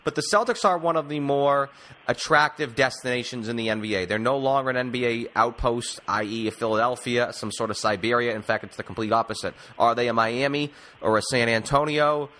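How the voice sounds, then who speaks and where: A man's voice: mid-range voice, brisk, sharp tone. A man hosting a sports talk podcast in an emphatic, wordy announcer style. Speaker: podcast host. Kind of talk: sports talk interviewing and show sign-off. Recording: music bed under the voice. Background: music.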